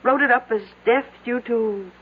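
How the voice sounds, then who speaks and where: An actress playing a dry, knowing small-town widow in an old-time radio drama, sardonic, deliberate and quietly ominous. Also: female voice, a little high, phone-quality sound, close to an everyday voice. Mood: grave, ominous. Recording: noisy, band-limited vintage radio drama recording.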